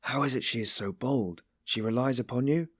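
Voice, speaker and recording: A man's voice: normal speed, slightly rough, no echo, studio quality, lightly acted. A man voicing a brooding, bitter man who asks terse, probing questions in an audiobook story. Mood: needling. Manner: terse, low-key, pointed questions.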